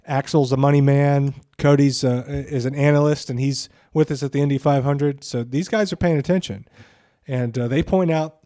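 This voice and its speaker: A man's voice: mid-range voice, dark tone, no echo, clean studio sound. A man co-hosting a podcast with casual, opinionated commentary, emphatic, repeating phrases for stress and swearing freely. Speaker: podcast co-host.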